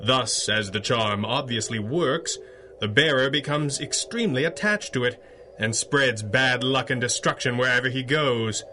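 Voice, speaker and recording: Bright-voiced, wry masked hero played by a man. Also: mid-range voice, slight background noise, natural voice. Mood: coolly explaining. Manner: measured, articulate, wry. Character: masked male superhero, calm, clever, dryly witty. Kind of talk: 1930s-style radio drama acting.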